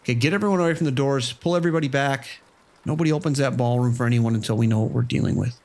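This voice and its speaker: An actor playing a stressed casino security boss taking charge in a horror audio drama. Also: male voice, medium pitch, rapid-fire, creaky, darkish tone, natural voice. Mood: commanding.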